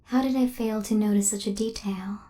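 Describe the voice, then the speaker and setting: A woman playing an injured, devoted female killer robot in an intimate ASMR roleplay, with a soft, breathy half-whisper and formal, precise phrasing. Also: medium pitch, steady tone, a little fast, natural voice. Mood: calm, analytical.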